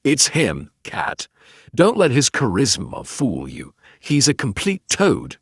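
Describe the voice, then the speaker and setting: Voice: mid-range voice, wildly swooping pitch, takes it slowly, slightly rough, dry recording, studio quality, pitched below the performer's own voice, full character voice. A woman voicing a young man, a grumpy and insistent vigilante sidekick in an audiobook. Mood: insistent, urgent. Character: young male friend, grumpy, insistent vigilante tech helper.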